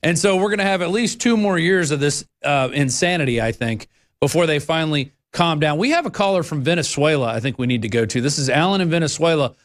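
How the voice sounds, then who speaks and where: A man's voice: fairly high pitch, animated. Upbeat man hosting a call-in show. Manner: brisk, upbeat, conversational. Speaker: radio talk show host. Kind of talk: call-in radio talk.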